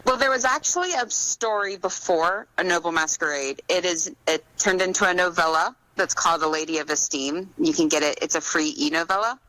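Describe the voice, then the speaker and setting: A woman's voice: on the low side, fairly quick, hardly any pauses, bright, forceful tone, no echo, rough recording. A woman giving conversational answers as a guest author in an interview.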